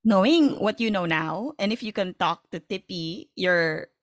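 A woman podcast host with a Filipino accent and a mid-pitched voice, recorded dry and close. She chats casually, affirming and encouraging the people she speaks to. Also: expressive, a little slow, dry recording. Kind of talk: casual conversational interviewing.

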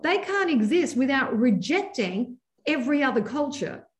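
Australian woman, 40s, guest, slightly high voice, self-deprecating humour. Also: big pitch swings, slight background noise. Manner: joking, self-deprecating, quick to laugh. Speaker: podcast guest. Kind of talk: casual chat.

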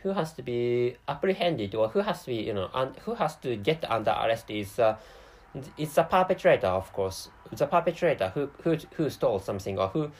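Japanese-accented male podcaster, non-native English, plodding, self-correcting, lively intonation. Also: mid-range voice, low-quality recording. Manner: halting, many restarts and self-corrections. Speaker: podcast host. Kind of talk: solo podcast monologue.

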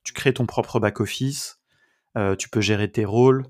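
A man's voice: on the low side, steady tone, normal speed, darkish tone. A man hosting a podcast, chatting casually as he interviews his guest.

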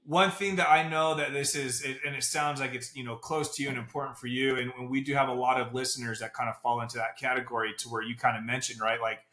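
Man, podcast host, earnest casual talk, bright forceful voice, roomy echo. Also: medium pitch, fairly even intonation. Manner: earnest, fast, says "bro" and "right?" a lot. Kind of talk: casual interview chat.

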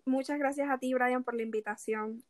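Venezuelan woman in her twenties, accent leaning Puerto Rican, high voice, dry close sound; chatty guest with lots of fillers. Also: steady tone, normal speed, no room sound. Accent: Puerto Rican-influenced Venezuelan Spanish. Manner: chatty, laughing, many fillers. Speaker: athlete guest. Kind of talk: casual interview over a call.

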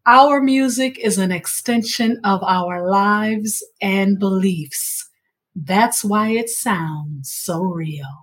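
A woman's voice: medium pitch, slow, slightly rough. A woman hosting a podcast, interviewing a guest and addressing her listeners. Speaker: podcast host.

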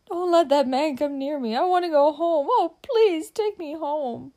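Woman acting a high, frightened young lady. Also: a little slow. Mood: sobbing, terrified. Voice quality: breathy, trembling, often whispering. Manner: gasping, excited, pleading. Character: frightened, tearful young society lady, pleading.

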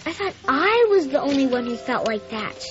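A woman's voice: high voice, big pitch swings, normal speed, fairly bright tone, faint music behind. A woman voicing a shy, earnest schoolboy who stammers and hesitates, in a radio drama. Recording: slightly dull radio drama recording.

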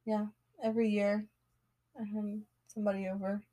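A woman in her late twenties chatting with a friend. She teases, reacts quickly and pokes fun at herself. Her pitch is mid-range. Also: little pitch movement, dark tone, low-quality recording. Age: 20s. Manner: teasing, quick reactions, self-deprecating. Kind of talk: casual chat.

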